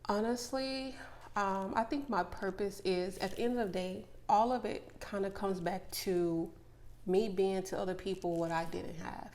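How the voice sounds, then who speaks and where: A woman's voice: medium pitch, steady tone, moderate pace, a little mellow. A woman, speaking earnestly and reflectively as a coach and guest in a casual interview, laughing easily. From Mississippi. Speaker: podcast guest, motivational coach.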